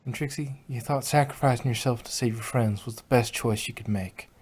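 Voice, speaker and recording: A man's voice: medium pitch, a little fast, darkish tone, slightly rough, close to an everyday voice. A man voicing an honest, plain-spoken female farm pony with folksy country phrasing in a fan fiction reading. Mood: earnest, comforting.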